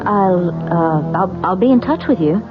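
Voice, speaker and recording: A woman's voice: fairly low pitch, animated, a little slow. A woman playing an anxious, hesitant young wife in an old-time radio drama, with an edgy manner. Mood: wary, hesitant. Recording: muffled vintage radio recording, music behind.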